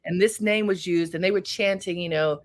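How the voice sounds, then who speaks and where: A woman's voice: fairly low pitch, steady tone, normal speed. Middle-aged woman host, storytelling manner. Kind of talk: conversational interview.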